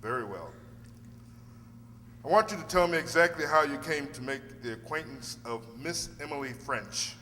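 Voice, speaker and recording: A man playing a measured, probing defense lawyer in an old radio drama with a reverberant sound. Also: a little high, expressive, sharp tone, a little hiss or hum. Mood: grave, probing. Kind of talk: 1940s radio drama acting.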